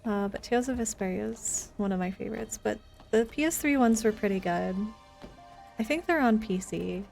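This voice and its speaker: Gamer girl streaming, high creaky voice, chatty with her viewers, music behind her. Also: moderate pace, a little mellow. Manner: chatty, reading and answering viewer comments. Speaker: video game streamer. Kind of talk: casual live stream chat while playing. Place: indoors, live game stream with music behind.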